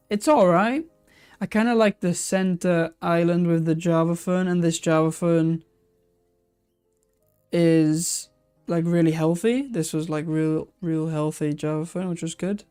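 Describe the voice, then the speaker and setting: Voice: mid-range voice, fairly even intonation, slow, long pauses, a little mellow, clean tone, soft background music. A live-stream host chatting casually while reacting to old aquarium videos, thinking aloud with many restarts and answering viewer comments.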